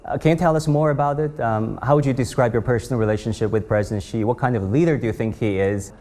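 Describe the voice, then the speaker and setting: A man hosting a television news interview, asking polite, measured questions with occasional "um" fillers. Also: medium pitch, darkish tone. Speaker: TV interviewer and host. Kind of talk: news interview and closing narration.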